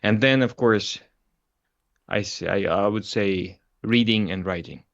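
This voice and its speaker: A man's voice: lowish voice, measured pace, fairly bright tone, no room sound, lo-fi sound. A Russian man with a Russian accent, talking through his views as an interview guest, explaining with examples. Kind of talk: casual conversation.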